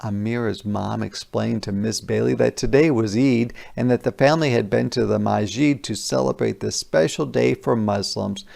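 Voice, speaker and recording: A man teacher reading a children's picture book aloud, adding simple, deliberate explanations for young listeners. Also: mid-range voice, gravelly, a little mellow. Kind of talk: interactive children's read-aloud with explanatory asides.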